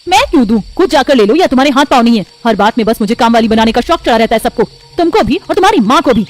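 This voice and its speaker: A woman's voice: a little high, animated, rapid-fire, close to an everyday voice. A woman voicing a lazy, moody, quarrelsome young wife in a cartoon story. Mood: defiant anger. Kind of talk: acted cartoon story dialogue.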